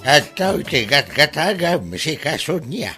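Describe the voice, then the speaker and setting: A man's voice: on the high side, sing-song, a little slow, over loud music. A man playing a brash, casual villager in an Ilocano radio comedy drama.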